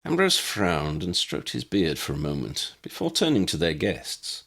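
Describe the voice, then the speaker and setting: Deep-voiced man narrating a story, gravelly edge. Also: huge pitch swings, moderate pace, fairly bright tone. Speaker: audiobook narrator reading own fan fiction.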